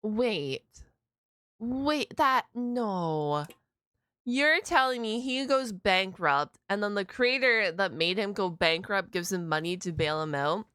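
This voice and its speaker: Bright-voiced woman reacting casually, close and dry. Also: mid-range voice, animated, slow pace, clean tone, dry recording. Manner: exclaims in short interjections. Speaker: reaction video host. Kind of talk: casual off-the-cuff reaction commentary.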